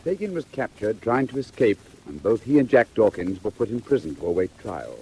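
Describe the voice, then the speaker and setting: A man narrating a classic story in a theatrical, measured 1940s storybook style. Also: lowish voice, big pitch swings, darkish tone, slight background noise, poor audio.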